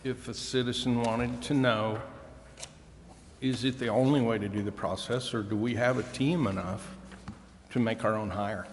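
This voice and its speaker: A man speaking as a council member in a public meeting, with halting, deliberate phrasing. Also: medium pitch, steady tone. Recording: echoey hall with long reverb, faint noise.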